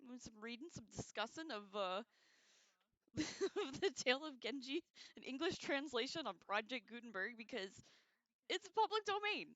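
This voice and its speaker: A woman hosting a casual livestream, chatting to viewers in an excitable, giggly way with loose, profane slang. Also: sits high, moderate pace, no echo, low-quality recording. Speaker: livestream host. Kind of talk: casual chat to live viewers.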